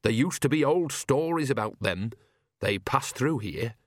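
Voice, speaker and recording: A man's voice: medium pitch, expressive, a little slow, dry recording, studio quality. A man voicing a blunt, homely older woman witch in a storybook reading, speaking unhurriedly.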